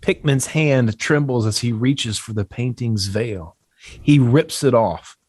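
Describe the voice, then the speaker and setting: A man's voice: mid-range voice, takes it slowly, a little mellow, breathy edge, no room sound. Man narrating horror screenplay, dry close sound. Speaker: stage directions reader.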